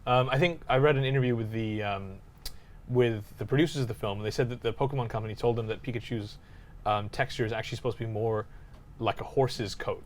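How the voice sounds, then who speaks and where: A man's voice: medium pitch, fast. A man co-hosting a podcast in casual conversation, often chuckling as he talks. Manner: chuckles often while talking. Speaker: podcast co-host. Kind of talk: casual chat about video game movies.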